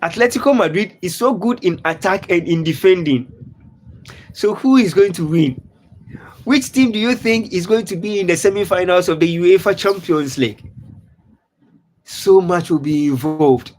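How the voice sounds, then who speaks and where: Ghanaian man with a high voice, earnest live-stream football host, repeats himself. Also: normal speed, poor audio. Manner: repetitive, earnest, reads out viewer comments. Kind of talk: casual football talk to live viewers. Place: lives in Dubai.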